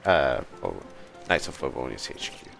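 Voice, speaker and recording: Very deep-voiced man livestreaming a game. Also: big pitch swings, moderate pace, gravelly, a bit bright, faint music behind. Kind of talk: casual gameplay commentary.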